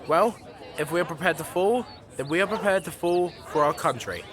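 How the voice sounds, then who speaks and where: A man's voice: fairly high pitch, a little fast, a faint crowd, close to an everyday voice. A young man playing an earnest, formal TV news interviewer in a radio-style drama. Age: young adult. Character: earnest male TV news interviewer on crisis show.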